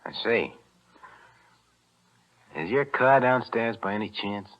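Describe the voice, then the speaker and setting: Tough low-voiced radio gangster. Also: male voice, lively intonation, slow. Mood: menacing. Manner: slangy tough-guy talk. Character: cornered, cold-blooded gangster killer, a tough talker. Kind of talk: 1940s radio thriller acting. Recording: muffled vintage radio broadcast.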